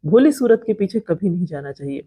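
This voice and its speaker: A woman's voice: fairly low pitch, expressive, fairly quick, breathy edge, muffled recording. A woman with an Indian accent giving informal vlog commentary on creator gossip, explaining things conversationally to viewers and repeating phrases for emphasis. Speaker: vlogger commenting on creator drama.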